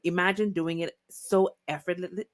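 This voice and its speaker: Brief: podcast host from the Bahamas, woman, low voice, dry close sound, warm encouraging delivery. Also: fairly even intonation, moderate pace, dry recording. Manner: effusive and encouraging. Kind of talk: casual interview chat.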